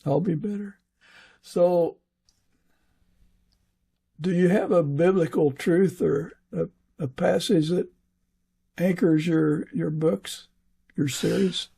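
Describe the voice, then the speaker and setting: Male podcast host, higher-pitched with a relaxed delivery, halting, conversational. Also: gravelly, breathy edge. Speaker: fiction author. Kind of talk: casual interview chat.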